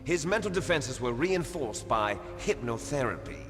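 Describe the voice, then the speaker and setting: A man acting a menacing video game terrorist boss with widely swinging pitch. He is commanding and taunting, heard in long reverb over music. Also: animated, normal speed, lightly acted. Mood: stern, commanding. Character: imperious male terrorist commander, menacing and theatrical.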